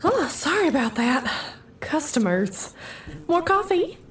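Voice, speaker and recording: A woman's voice: high-pitched, sing-song, a little slow, slight background noise. A woman playing an uncanny, politely scolding diner waiter who speaks in the third person, in an audio drama.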